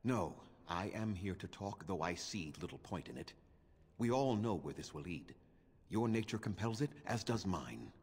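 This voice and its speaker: An actor playing an ancient, vengeful clan elder in a fantasy video game, haughty and scornful, speaking formally. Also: male voice, low voice, lively intonation, moderate pace, a little mellow, breathy edge, full character voice. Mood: cold, bitter. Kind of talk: dramatic video game acting. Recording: long cavernous reverb.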